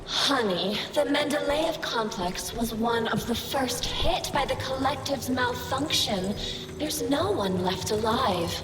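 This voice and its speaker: A woman playing an obsessive, seductive female robot in a video game, cooing pet names with a menacing clinginess. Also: on the high side. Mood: soothing, sinister. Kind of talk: video game character acting. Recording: echoey reverberant hall, music behind.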